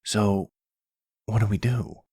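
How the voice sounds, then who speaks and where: A man's voice: lowish voice, steady tone, slow pace, darkish tone, slightly rough, no echo, studio quality, pitched above the performer's own voice, heavily acted. A man voicing an earnest, casual young man, the son in a roleplay audio drama. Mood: disbelieving. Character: earnest young man, mob boss's partner's troubled son.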